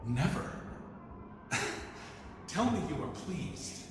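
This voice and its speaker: A proud, devoted prince speaks slowly in a very echoey space with long reverb. His delivery is theatrical and reverent, drawn out as in a fantasy game cutscene, eager for approval. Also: on the high side, sing-song, full character voice. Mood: pleading. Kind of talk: video game cutscene acting. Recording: heavy echo, long reverb, background noise.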